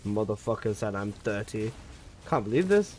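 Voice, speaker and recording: A man's voice: fairly low pitch, sing-song, normal speed, darkish tone, slight background noise. A man in his twenties, a game streamer chatting casually and jokingly with his live chat, swearing often. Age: 20s.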